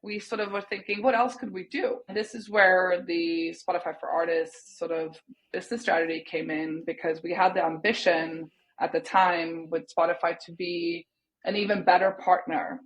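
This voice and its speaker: A Swedish-born woman in her thirties, a native Swedish speaker, speaks on a video call from a room at home. Her voice sits fairly low for a woman. She talks thoughtfully and softens her points with "sort of" and "kind of". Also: fairly even intonation, moderate pace, rough recording. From Sweden. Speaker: guest. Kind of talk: casual interview talk.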